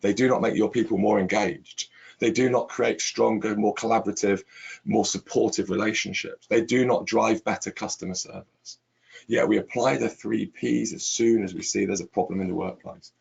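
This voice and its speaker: A middle-aged man with a hoarse, croaky voice from laryngitis, hosting a webinar and stressing points with long lists of repeated words. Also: on the low side, steady tone, normal speed, darkish tone, dull audio. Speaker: webinar host, chief executive. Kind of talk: webinar presentation.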